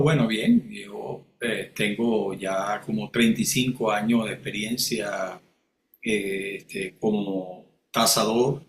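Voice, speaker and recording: A Venezuelan man in his sixties, speaking as an interview guest and answering with many halting fillers. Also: medium pitch, vocal fry. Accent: Venezuelan Spanish. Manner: halting, heavy fillers, thoughtful. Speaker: guest, real estate appraiser. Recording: echoey room, faint background noise.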